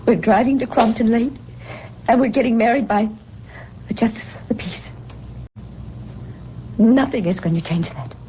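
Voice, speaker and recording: A woman's voice: fairly high pitch, normal speed, long pauses, warm tone, lightly acted. An actress playing a troubled, anxious young woman in an old-time radio drama. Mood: defiant. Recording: muffled, faintly noisy old radio recording.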